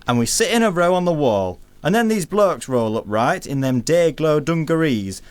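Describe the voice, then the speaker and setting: A performer playing a cheeky working-class English storyteller, chatty and colloquial, acting out neighbours' exchanges in a radio play. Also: mid-range voice. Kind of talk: scripted storytelling monologue in a stage-style radio play.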